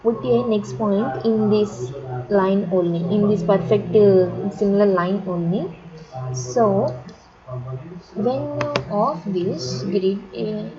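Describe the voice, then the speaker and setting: A woman with an Indian accent teaching software step by step as an instructor, in short, repetitive instructional phrases. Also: mid-range voice. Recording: dull lo-fi audio, chatter of voices behind.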